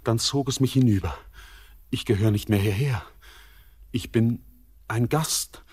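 A husky, haunted young miner. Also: male voice, medium pitch, a little slow. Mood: troubled. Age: young adult. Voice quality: hushed, anguished. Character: haunted young male miner, torn and feverish. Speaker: Elis, the miner. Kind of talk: classic radio play acting.

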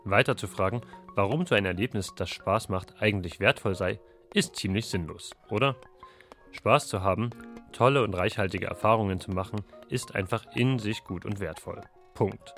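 A low-pitched man narrates a radio culture feature from a studio. He reads a script in a reflective, questioning way. A clear music bed plays under his voice throughout. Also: normal speed, fairly bright tone. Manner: reflective, poses rhetorical questions.

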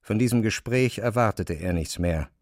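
Male narrator for classic fiction: lowish pitch, dry studio sound, measured and precise delivery. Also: a little mellow, dry recording. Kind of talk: scripted literary narration.

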